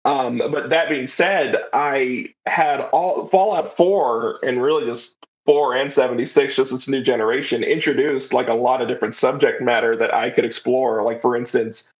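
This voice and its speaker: A man's voice: mid-range voice, steady tone, moderate pace, hardly any pauses, lo-fi sound. A man speaking casually as a podcast guest, a writer chatting about his creative work and slipping in quoted asides. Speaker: interview guest, writer and audio drama creator.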